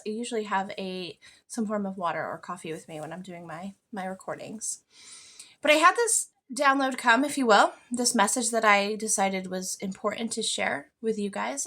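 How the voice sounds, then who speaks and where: An American woman with a noticeably creaky, mid-pitched voice. She talks informally and earnestly, like a podcast host thinking aloud about faith, and she puts emphasis on the words that matter to her. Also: a bit bright. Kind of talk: informal reflective spiritual monologue.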